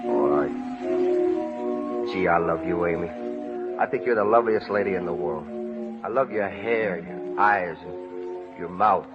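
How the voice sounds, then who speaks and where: An old muffled radio play with music swelling behind. an earnest small-town fellow with a low voice. He talks plain and folksy, halting as if feeling for each word. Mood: tender, hopeful. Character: earnest, rough-spoken small-town man hopeful yet nursing old hurt. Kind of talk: 1930s radio drama acting.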